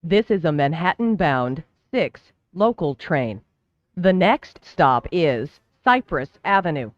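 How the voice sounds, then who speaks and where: A train announcer delivering scripted transit announcements in a formal, measured, clearly enunciated way. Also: mid-range voice, no echo, lo-fi sound. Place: recorded train public-address announcement.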